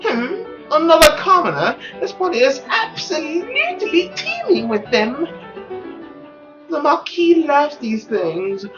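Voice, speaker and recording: A snooty society lady, put on by a woman reading a visual novel aloud, speaks with haughty, mocking affectation. Her pitch swings dramatically as she sneers, with faint music behind her. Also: big pitch swings, slow pace, lo-fi sound, heavily acted. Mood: disdainful.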